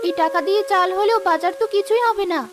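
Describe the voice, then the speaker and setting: Woman voicing a very high-pitched, earnest village wife. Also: fast talker. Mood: sorrowful. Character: poor, earnest village wife, honest and determined. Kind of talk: Bengali cartoon story dialogue.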